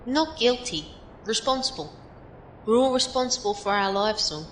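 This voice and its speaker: A woman's voice: expressive, moderate pace, natural voice. A woman playing a plainspoken, philosophical survivor in an audio drama, giving encouraging, matter-of-fact advice. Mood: frank, encouraging. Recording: roomy echo, faint background rumble.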